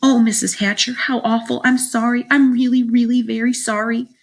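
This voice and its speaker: A nervous, defensive girl in a children's audiobook, voiced by a woman. Her voice sits high above a normal adult register. She pleads and protests as she speaks. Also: big pitch swings, normal speed, gravelly, a bit bright, full character voice. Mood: distressed, apologetic. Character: anxious, defensive schoolgirl.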